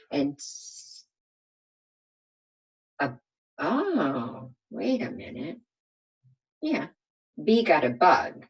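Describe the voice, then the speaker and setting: A woman's voice: low voice, breathy edge, muffled recording. A woman reading a children's storybook aloud like a kindergarten teacher, expressively and with questions for her listeners.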